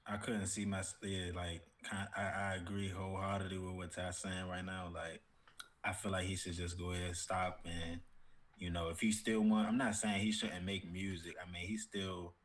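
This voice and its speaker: A man in a casual podcast discussion, explaining his view at length in a measured, qualifying way as a co-host. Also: low voice, flat delivery.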